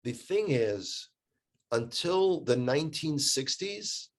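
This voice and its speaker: A man's voice: fairly high pitch, lively intonation, takes it slowly. A man with an American accent telling stories in a casual, conversational way, emphatic and repeating phrases for effect. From United States. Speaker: guest, tour guide living in Israel.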